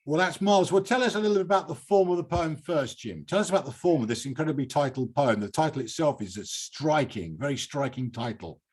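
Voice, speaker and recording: A man's voice: a little high, lively intonation, quick pace, hardly any pauses, a little mellow, husky. A British man hosting a poetry reading in an effusive, digressive, conversational way. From United Kingdom. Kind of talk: live event hosting, conversational interviewing.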